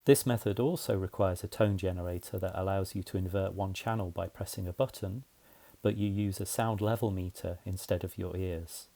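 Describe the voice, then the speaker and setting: A man's voice: low voice, fairly even intonation, moderate pace, gravelly, mellow. A man narrating a scripted explainer in a methodical, plain-spoken way, as a tutorial presenter.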